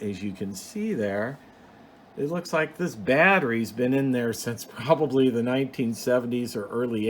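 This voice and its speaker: A man giving a casual, unscripted show-and-tell as a hobbyist host, explaining things in a rambling, plain-spoken way. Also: medium pitch. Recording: clear background noise.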